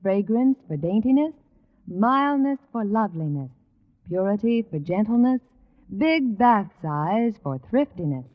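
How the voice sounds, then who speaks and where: A woman reading a 1940s-style radio advertisement in a measured, persuasive manner. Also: mid-range voice, big pitch swings. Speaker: commercial announcer. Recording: dull old radio recording.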